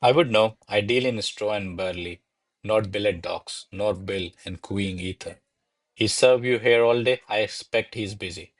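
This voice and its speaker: A man's voice: on the low side, unhurried. Man playing a wry, rambling servant. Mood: scoffing. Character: wry, grumbling male servant, cheeky and talkative. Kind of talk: comic servant role in radio play.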